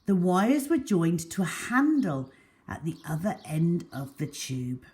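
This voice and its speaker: A woman reads a children's story aloud as a storyteller. Her voice is a little low and unhurried, rising and falling widely with deliberate emphasis. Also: big pitch swings, darkish tone. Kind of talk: expressive children's story reading.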